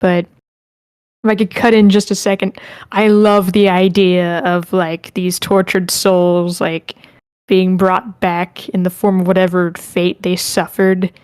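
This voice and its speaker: A woman's voice: fairly low pitch, flat delivery, measured pace, a little mellow, clean tone, no echo, studio quality. A woman hosting an online group session, casually moderating the chat and reading comments aloud. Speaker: host of an online script table read.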